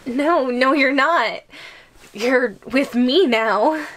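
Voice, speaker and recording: Woman playing a high-voiced, clingy yandere giantess. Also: fairly bright tone, full character voice. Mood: pleading, unhinged. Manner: giggly, coaxing, possessive, halting delivery. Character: clingy, lovesick yandere giantess, sweet but menacing. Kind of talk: audio roleplay acting.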